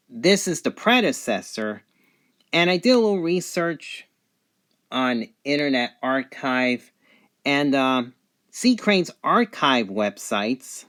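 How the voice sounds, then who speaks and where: Slow-talking man reviewing a gadget. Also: medium pitch, fairly bright tone. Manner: halting, pausing between short phrases. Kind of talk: unscripted product review, describing features on camera.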